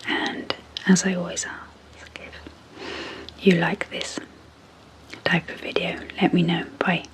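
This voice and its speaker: A woman speaks in a soft, breathy near-whisper, on the low side. Her delivery is very slow and very even, with long pauses between short phrases, in a gentle ASMR hypnosis style. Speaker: psychologist hosting an ASMR channel. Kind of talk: soft-spoken ASMR sleep hypnosis introduction.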